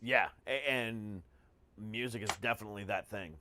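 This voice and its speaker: A man's voice: fairly low pitch, normal speed, bright, forceful tone. A man hosting a podcast in casual conversation, talking in an emphatic, slangy way with frequent swearing. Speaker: podcast host. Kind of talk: casual chat.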